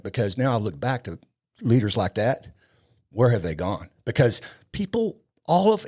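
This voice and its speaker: A man in his fifties talks with conviction as a podcast guest. He is blunt and emphatic, hammering points home by repeating his phrases, at an ordinary male pitch. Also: darkish tone. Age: 50s. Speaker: podcast guest, law enforcement trainer. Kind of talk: impassioned interview talk.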